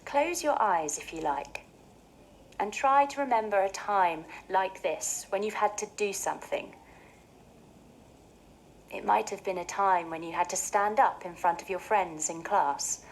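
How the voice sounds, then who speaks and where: A woman hosting a scripted mindfulness session for children, speaking in a soothing, clearly phrased, gently expressive way. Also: medium pitch, a little slow, slight background noise. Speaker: host of a children's mindfulness show.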